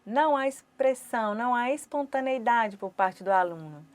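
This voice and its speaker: A woman with a Brazilian Portuguese accent who voices a sign-language teacher's lecture for an online course. She speaks in short phrases broken by pauses. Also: a little high, expressive.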